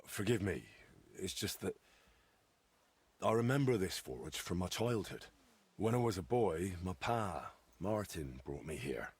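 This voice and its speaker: An actor playing an earnest, polite young blacksmith's son with a husky voice in a video game. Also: male voice, deep voice, big pitch swings, a little slow, heavily acted. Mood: subdued, earnest. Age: young adult. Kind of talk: video game dialogue acting.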